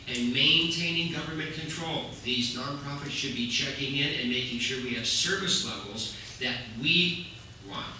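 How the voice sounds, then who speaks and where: A man speaking as a city council candidate at a public forum, with a loud, brisk, explanatory delivery. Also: mid-range voice. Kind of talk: forum answer to a question. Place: community center meeting room. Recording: echoey room from far off, faint noise.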